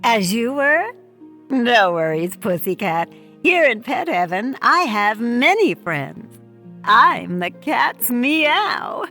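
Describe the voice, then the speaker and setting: A woman's voice: fairly high pitch, sing-song, takes it slowly, bright, forceful tone, soft background music, heavily acted. A woman voicing a sassy, worldly, theatrical cat in an audio play, with an arch, drawling manner. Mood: arch, affectionate. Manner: arch, drawling, calls people darling.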